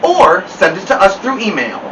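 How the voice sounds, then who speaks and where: A man with a high voice reads aloud to children in an expressive, playful way. He drops in chatty asides to his listeners. The mic sounds distant and there is clear noise behind him. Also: moderate pace. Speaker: host of a children's story show. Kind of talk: animated read-aloud storytelling with chatty asides.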